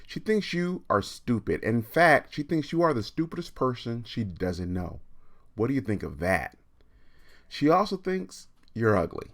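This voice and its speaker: A man hosting a livestreamed storytime, chatting informally and reading a children's book aloud with animated, encouraging delivery. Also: mid-range voice, unhurried.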